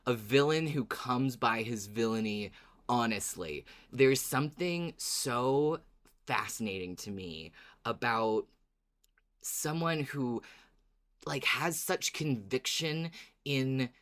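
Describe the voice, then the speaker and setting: A podcast co-host chatting casually about a favourite show in an animated, enthusiastic way, swearing freely. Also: medium pitch, slow pace. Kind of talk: casual fan discussion chat.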